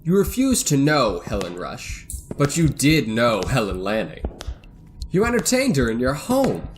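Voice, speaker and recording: An actor playing a bitter, vengeful financier in a radio thriller, cold and deliberate with surges of excitement. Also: male voice, mid-range voice, noisy background. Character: bitter vengeful self-made financier holding his captives. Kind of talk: old-time radio thriller acting.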